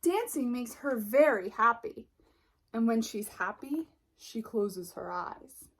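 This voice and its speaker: A woman reading a children's picture book aloud as narrator, expressively and deliberately, with dramatic pauses. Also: fairly high pitch, lively intonation. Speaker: storybook reader.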